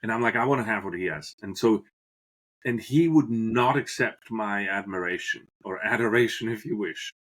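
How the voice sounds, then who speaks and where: A man's voice: fairly low pitch, normal speed, a bit bright, low-quality recording. A middle-aged man from Switzerland, a guest on a podcast, telling his story earnestly and often restarting phrases as he searches for words. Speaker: guest, business professor. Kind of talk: podcast interview, reflective storytelling.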